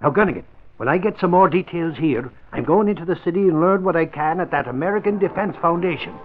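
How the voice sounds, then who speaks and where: An actor playing a brisk, urgent male newspaper reporter in an old-time radio drama. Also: a little high, narrow, tinny audio, slight background noise, natural voice. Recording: thin vintage radio recording.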